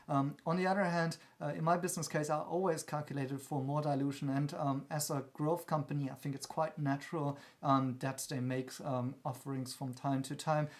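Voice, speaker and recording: A man hosting an investment channel, giving analytical commentary in an explanatory manner with frequent hesitations. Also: mid-range voice. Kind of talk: analytical commentary on company earnings.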